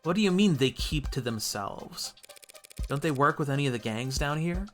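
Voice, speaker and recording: A man's voice: a little high, expressive, normal speed, fairly bright tone, soft background music. A man voicing a wry, street-wise detective in a cyberpunk noir game, with sardonic, clipped delivery. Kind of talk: acted reading of game dialogue.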